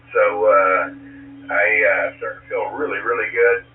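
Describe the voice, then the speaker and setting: Male ham operator, low voice, recovering from a cold, halting speech, distant echoey radio sound. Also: slight background noise. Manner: halting, many fillers. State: getting over a cold. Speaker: radio net participant. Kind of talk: casual on-air radio chat. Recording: tinny distant radio signal in echoey room.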